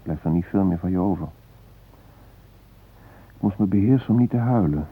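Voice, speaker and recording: An actor playing a shaken former hostage, retelling a brush with death in an intense, introspective radio drama monologue. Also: male voice, low-pitched, expressive, moderate pace, husky, heavily acted. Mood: tense, haunted. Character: shaken male former train hostage recounting facing death. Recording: muffled, narrow-band old radio recording.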